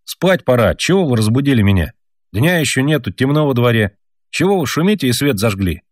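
A man's voice: medium pitch, sing-song, a little fast, no room sound, clean studio sound, pitched above the performer's own voice. A man voicing an earnest young peasant boy who lectures his parents and tells a long story. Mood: indignant.

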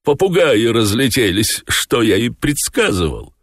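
A man's voice: medium pitch, very animated, measured pace, a bit bright, voice pushed up. A man voicing a theatrical talking tomcat in an audiobook, a wheedling chess cheat full of fussy, falsely anxious indignation. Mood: blustering confidence. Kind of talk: audiobook character voice.

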